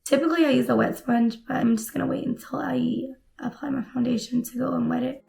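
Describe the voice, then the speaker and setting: Hoarse, gravelly young woman vlogger. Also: high voice, fast talker, faint music behind. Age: young adult. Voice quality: raspy. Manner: relaxed, chatty product commentary while applying makeup. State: hoarse, recovering from lost voice. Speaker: beauty vlogger. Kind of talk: casual makeup tutorial chat. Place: small home room, indoors.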